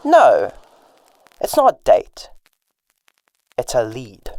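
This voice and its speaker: Guy acting as earnest polite new detective in a radio play, pitch goes up and down loads. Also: huge pitch swings, very slow, gravelly, heavily acted.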